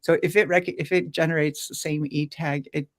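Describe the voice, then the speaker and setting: A woman hosting a casual technical chat, speaking haltingly as she thinks aloud. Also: low-pitched, fairly even intonation, no room sound, clean studio sound. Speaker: show host.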